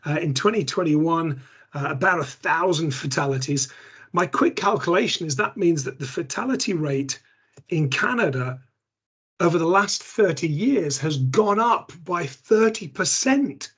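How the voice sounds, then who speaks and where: A man from the UK speaking as a guest expert over a video call, animated and emphatic. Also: on the high side, a little slow, breathy edge. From United Kingdom. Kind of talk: interview answer.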